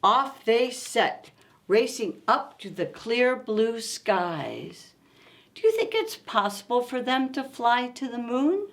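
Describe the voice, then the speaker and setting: Female storytime reader for little kids: very slow, slightly high voice, expressive and deliberate. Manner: expressive, asks listeners simple questions. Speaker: children's story-time reader. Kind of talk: read-aloud storytime for young children.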